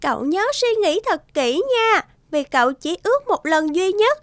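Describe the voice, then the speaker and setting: Very high, childlike little fairy girl. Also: big pitch swings, normal speed, no echo, clean studio sound. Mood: friendly, earnest. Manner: wistful, exuberant, childlike. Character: tiny cheerful girl fairy living in a vase. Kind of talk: animated cartoon dubbing.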